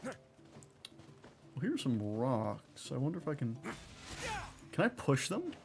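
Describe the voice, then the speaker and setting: A woman's voice: extremely low pitch, huge pitch swings, takes it slowly, vocal fry, music in the background. A woman giving casual, offhand, wry commentary as she streams a game.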